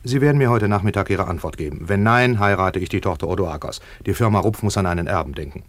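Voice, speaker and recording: An actor playing a brash, self-important merchant tycoon in a radio play, talking in a pompous, emphatic business manner. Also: male voice, low-pitched, fast talker, dry recording, close to an everyday voice. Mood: demanding. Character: brash, self-important tycoon trouser manufacturer in ancient Rome. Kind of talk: German radio play acting.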